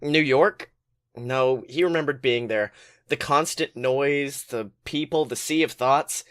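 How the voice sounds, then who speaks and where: A man podcast host reading fan fiction aloud as expressive, colloquial story narration. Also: mid-range voice, takes it slowly.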